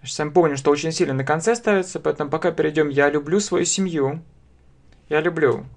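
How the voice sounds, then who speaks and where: A man in his thirties with a Russian accent, teaching a language lesson very slowly, spelling out phrases word by word and repeating them at a desk webcam. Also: a little high, vocal fry. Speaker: English teacher. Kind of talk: slow step-by-step language lesson. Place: small room indoors.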